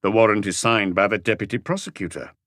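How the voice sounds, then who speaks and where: A man voicing a calm, dogged police chief inspector in an audiobook, measured and laconic. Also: lowish voice, dry recording, studio quality, natural voice. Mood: calm, terse. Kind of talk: audiobook character voice.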